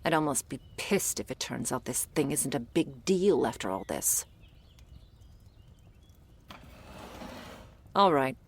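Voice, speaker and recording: A woman playing a jaded, prickly monster hunter in a roleplay audio drama, blunt and sardonic, with a low gravelly voice. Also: lively intonation, normal speed, exaggerated voice. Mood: grudging, weary.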